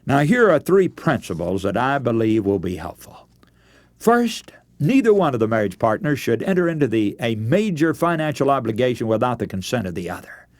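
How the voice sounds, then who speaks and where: A man's voice: medium pitch, sing-song, moderate pace, dry recording. A man preaching a radio sermon, giving practical advice in an earnest, instructive way. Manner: earnest, instructive, addresses listeners as friends.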